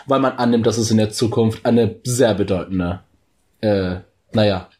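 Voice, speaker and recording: A man's voice: fairly low pitch, normal speed, mellow. A man in a casual discussion, explaining his points as a co-host and searching for his words. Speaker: co-host of a discussion about a TV series.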